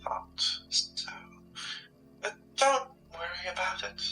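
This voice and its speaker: A woman plays a guarded, pragmatic occult shopkeeper in a horror drama. Her sharp, forceful voice is curt and dry, heard from a little way off in a roomy space with music behind. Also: on the low side, sing-song, takes it slowly, heavily acted. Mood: probing. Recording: roomy, distant mic with music.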